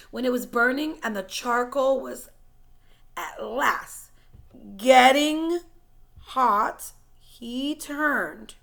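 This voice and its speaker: A woman reading a story aloud in an expressive, dramatic way, stressing key words and sometimes dropping to a hush. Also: on the high side, vocal fry. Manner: dramatic, emphatic, dropping to hushed tones. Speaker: teacher reading a book aloud.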